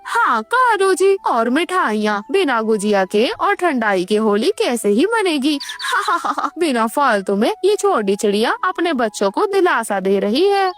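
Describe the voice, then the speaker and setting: A woman's voice: high-pitched, very animated, unhurried, fairly bright tone, soft background music, full character voice. A woman voicing an emphatic female crow, a wife, in a children's cartoon story. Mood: mocking. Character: snooty crow wife, later apologetic.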